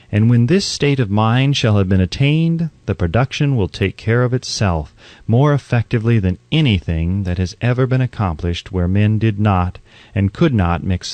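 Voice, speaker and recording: A man reading scripted nonfiction as an audiobook narrator, in measured, emphatic, declamatory phrasing. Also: lowish voice, darkish tone, dry recording.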